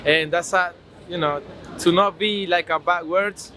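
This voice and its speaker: A man from Colombia, answering earnestly in halting phrases. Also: high-pitched, steady tone. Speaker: passerby. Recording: loud street crowd noise.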